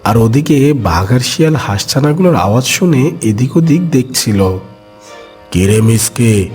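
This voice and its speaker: A man's voice: mid-range voice, animated, normal speed, a little mellow, soft background music. A man narrating a scripted children's animal story as its storyteller.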